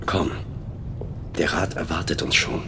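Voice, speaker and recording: An actor playing a steadfast knight, a loyal comrade who speaks firmly and earnestly in a game drama. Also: male voice, a bass voice, measured pace, background noise. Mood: urging.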